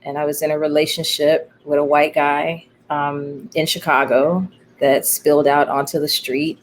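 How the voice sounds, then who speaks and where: A woman playwright speaking as an interview guest, telling her story in a candid, thoughtful, halting way. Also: sits low, flat delivery, a little hiss or hum. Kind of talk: reflective conversational storytelling.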